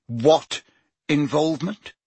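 A man voicing an exasperated, short-tempered male prime minister who talks in sharp, indignant bursts. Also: a little high, slow, slightly rough, no room sound.

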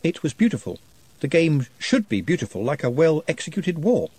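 A man voicing a quiet, earnest, humble young man in a storybook reading, speaking softly. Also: on the high side, big pitch swings, moderate pace, slightly rough, no echo, pitched above the performer's own voice. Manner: soft, small, earnest.

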